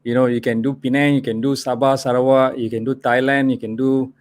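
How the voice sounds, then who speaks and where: A man hosts a live online business talk, nudging guests along with an encouraging manner. His voice sits at an everyday mid pitch and he leans on "you know" as filler. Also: little pitch movement, brisk. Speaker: host. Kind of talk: live webinar hosting.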